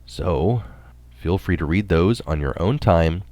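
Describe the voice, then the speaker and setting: A man's voice: deep voice, animated, slow, dark tone, dry recording. A man narrating a scripted science article, reading it aloud.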